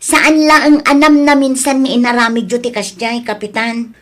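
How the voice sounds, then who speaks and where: A woman's voice: a little high, little pitch movement, moderate pace, fairly bright tone, close to an everyday voice. A woman with an Ilocano accent playing an outspoken, scolding village woman, blunt and firm, in an audio drama. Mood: defiant. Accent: Ilocano, northern Philippines. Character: scolding, outspoken village woman who stands her ground.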